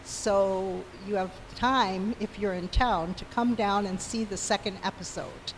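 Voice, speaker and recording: A woman's voice: medium pitch, steady tone, measured pace. A woman giving an informal live announcement to an audience as a member of a community group. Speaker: arts group member addressing livestream audience. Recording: noisy live venue audio.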